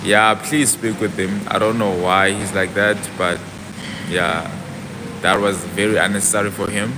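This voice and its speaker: Lowish male voice, chatty livestream vlogger. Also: steady tone, moderate pace, noisy background. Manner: chatty, answers viewer comments aloud mid-thought. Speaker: fitness vlogger. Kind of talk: casual livestream chat with viewers.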